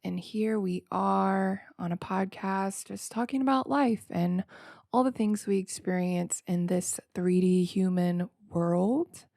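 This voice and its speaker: Female solo podcast host: gravelly fry, slightly nasal, reflective freestyle rambling, very dry close sound. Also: mid-range voice, darkish tone, no room sound. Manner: informal, reflective, halting and rambling with repeated words.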